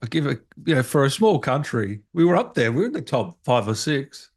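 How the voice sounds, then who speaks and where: An Australian man hosts a casual interview in a mid-pitched voice. His way of talking is informal and matey, with a joking streak. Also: very animated, a little fast, husky. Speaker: interview host. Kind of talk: casual chat.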